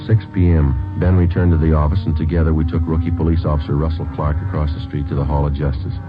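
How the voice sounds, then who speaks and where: A man's voice: a bass voice, fast, loud music behind the voice. A man playing a terse, matter-of-fact police sergeant narrating a case in an old radio drama, on a muffled recording. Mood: deadpan. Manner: deadpan, terse, procedural.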